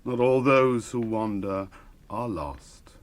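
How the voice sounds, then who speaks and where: A man playing a weathered ranger in a radio drama, measured, wry and quietly commanding. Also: on the low side. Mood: calm, resolute. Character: weathered, wry ranger of hidden noble birth. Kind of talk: classic radio drama acting.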